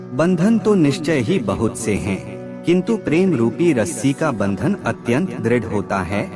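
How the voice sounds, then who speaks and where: Guy narrating wise sayings slowly, formal delivery but pitch moves around a lot, music going clearly behind him. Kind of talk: scripted narration of aphorisms. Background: background music.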